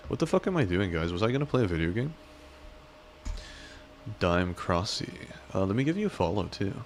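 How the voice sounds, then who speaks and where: A man chats on a live stream, wandering from joke to joke and teasing himself along the way. His voice sits very low. A faint hiss runs under the recording. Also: very animated, a little fast. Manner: rambling, joking, self-deprecating. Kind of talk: casual live-stream chat.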